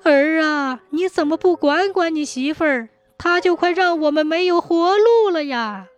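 An elderly parent from a folk tale, nagging and indignant, put on by a female narrator. The voice rises high as it complains, scolds and wails, with music underneath. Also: lively intonation, measured pace. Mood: plaintive.

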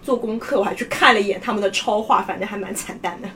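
A woman's voice: mid-range voice, quick pace, fairly bright tone, distant mic, slight background noise. A woman explaining things in a chatty, storytelling way in a casual podcast discussion.

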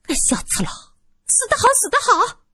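A woman's voice: mid-range voice, wildly swooping pitch, normal speed, breathy edge, no room sound, clean studio sound, pitched above the performer's own voice. A woman voicing a greedy old brothel madam who shrieks, wails and sneers. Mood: gleeful.

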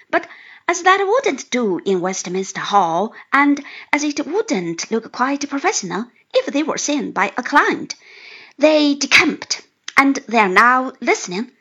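A woman voicing a cheerful, excitable, good-natured young man who gushes with delight, in an audiobook reading. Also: high-pitched, breathy edge, lo-fi sound, full character voice. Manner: gushing, effusive exclamations.

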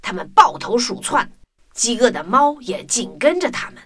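A woman's voice: fairly high pitch, very animated, normal speed. A woman narrating a children's story expressively. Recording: music under the voice.

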